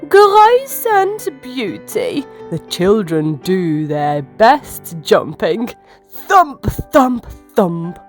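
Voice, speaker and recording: Lady reading a kids book slow and bouncy, soft piano under it. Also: lowish voice. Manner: gentle, sing-song storyteller. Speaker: children's story reader. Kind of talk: storybook read-aloud for kids. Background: soft piano music.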